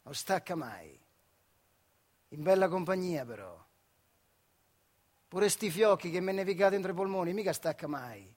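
Tired bitter old retired factory worker, marche accent, kinda high voice, wry stage monologue. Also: male voice, lively intonation, unhurried, pauses often, darkish tone. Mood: weary, wry. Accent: central Italian, Marche. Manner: colloquial dialect, wry and rueful. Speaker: solo stage actor. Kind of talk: dramatic theatrical monologue.

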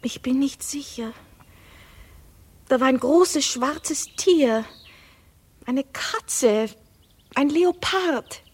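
A woman's voice: high-pitched, lively intonation, very slow, long pauses, no room sound, exaggerated voice. An actress playing a naive, devoted young lady in a radio drama, speaking in an earnest, anxious and solicitous way. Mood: uneasy. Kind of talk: German radio drama acting.

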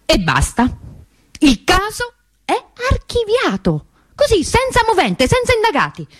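An actress playing an anguished, stubbornly hopeful grieving sister in a theatrical radio monologue, intense and emotive with dramatic pauses. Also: female voice, very high voice, wildly swooping pitch, no echo, exaggerated voice. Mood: bitter outrage.

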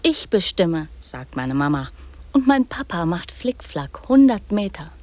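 An actress playing an imaginative, bossy little girl in a radio drama, often dropping into secretive whispers and then insisting loudly. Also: lowish voice, big pitch swings, measured pace, no room sound. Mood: bossy, excited. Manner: hushed, secretive whispering broken by sudden loud insistence.

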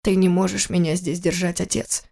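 A man's voice: high-pitched, level tone, normal speed, gravelly, darkish tone. A woman voicing an anguished grown son, bitter and broken by grief, pleading in a pained way in an audiobook. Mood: defiant.

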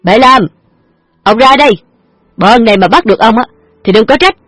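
A woman voicing a brutal male gang boss who shouts threats. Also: on the high side, lively intonation, brisk, dry recording, clean studio sound, faint music behind. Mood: threatening.

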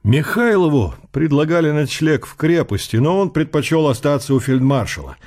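A man reading literary narration in an unhurried, characterful storytelling manner. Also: a little high, lively intonation, darkish tone. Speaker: narrator of an audio drama.

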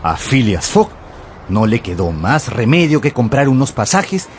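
A man's voice: medium pitch, big pitch swings, unhurried, slight background noise. A man narrating a radio drama in a theatrical, scripted storytelling style. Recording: music under the voice.

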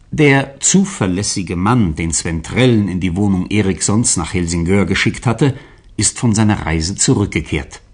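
A male narrator reads a scripted radio drama. His voice is very low and husky, and his intonation rises and falls in a lively way as he sets each scene.

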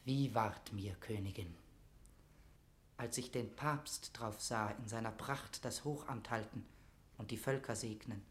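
A man's voice: fairly low pitch, measured pace, lightly acted. Man playing fervent young nobleman in echoing room. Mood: rapturous. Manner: declamatory, impassioned, solemn. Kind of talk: classic German verse drama, declaimed radio acting.

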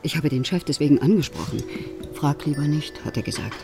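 An actor playing a hospital assistant, recounting events hesitantly. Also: male voice, fairly high pitch, animated, a little fast, vocal fry, over music. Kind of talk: radio drama acting.

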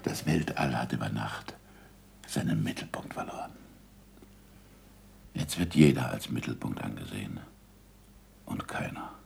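An actor playing a gruff, impatient older scholar who teaches with deliberate, probing questions in a 1960s German radio drama. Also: male voice, extremely low pitch, expressive, darkish tone, full character voice. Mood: hushed wonder. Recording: noisy old radio drama recording.

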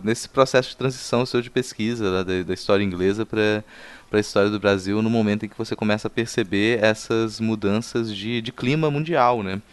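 Brazilian guy hosting a podcast, lowish smooth voice, feels his way through long questions, repeats words. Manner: halting, repeats words while forming long thoughts. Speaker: podcast host, interviewer. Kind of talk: conversational, reflective interview questioning.

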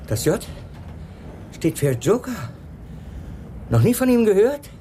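Small-time crook, radio drama: higher male register, nervy, defensive, urgent; noisy backdrop. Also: very animated, takes it slowly, warm tone, exaggerated voice. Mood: tense. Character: uneasy small-time male crook seeking police protection. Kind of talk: German radio crime drama acting.

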